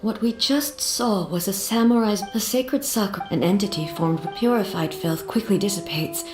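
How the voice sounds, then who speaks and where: A woman's voice: mid-range voice, darkish tone, soft background music, close to an everyday voice. Woman voicing a solemn, regal warrior ruler, echoing. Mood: calm, solemn. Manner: formal, measured, grave. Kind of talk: video game voice acting.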